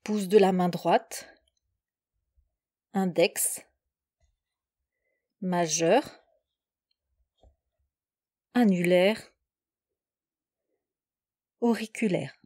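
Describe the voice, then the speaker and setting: A woman guides a relaxation meditation in a soft-edged, mellow voice. She speaks very slowly, setting out calm instructions in short, separate phrases. The sound is dry and close, with no room around it. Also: fairly low pitch, no echo. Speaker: yoga nidra instructor.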